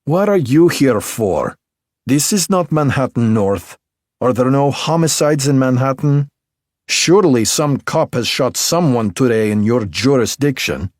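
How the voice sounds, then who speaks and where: A man's voice: medium pitch, expressive, a little slow, darkish tone, no room sound, clean studio sound, pitched above the performer's own voice, lightly acted. A man voicing a brusque middle-aged woman with a Belarusian accent who works as a medical examiner technician and speaks blunt, broken English. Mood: sarcastic.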